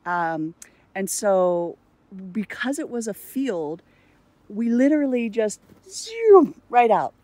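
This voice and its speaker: A woman in an outdoor garden class, chatting in a plainspoken, halting way, filmed by a phone a few meters away. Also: on the high side, sing-song, mellow, dry recording. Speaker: class participant. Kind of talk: casual chat.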